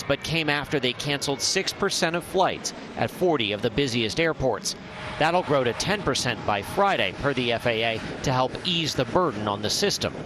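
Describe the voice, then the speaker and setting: An American man narrates a news report in a mid-pitched voice. He reads as a correspondent, with faint urban ambience behind him. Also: sharp tone, slight background noise. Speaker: transportation correspondent.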